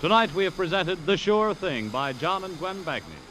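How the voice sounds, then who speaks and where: A man's voice: high-pitched, big pitch swings, moderate pace, a bit bright, a little hiss or hum. A man announcing in formal old-time radio style, reading closing credits. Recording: vintage radio recording with music.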